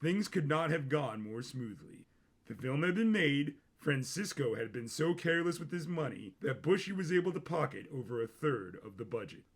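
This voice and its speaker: A man's voice: fairly high pitch, expressive, normal speed, breathy edge. A man reading scripted dramatic fiction as the narrator of a story.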